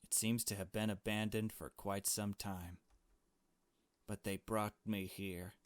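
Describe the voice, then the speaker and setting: Man acting a low-voiced, earnest trapped young adventurer. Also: little pitch movement, unhurried, dry recording, natural voice. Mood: hushed narration. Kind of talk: dramatic visual novel read-aloud.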